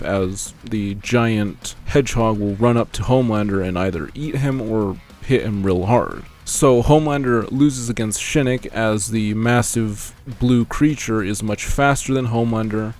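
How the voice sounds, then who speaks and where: Low-voiced man narrating over music. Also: a little mellow. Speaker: video essay narrator. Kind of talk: scripted narration.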